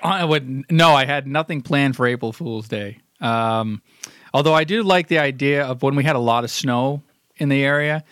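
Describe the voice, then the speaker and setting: A man's voice: mid-range voice, normal speed, a bit bright, clear, resonant tone, no room sound. A man co-hosting a podcast, chatting casually with dry, teasing humor. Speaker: podcast co-host. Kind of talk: casual chat.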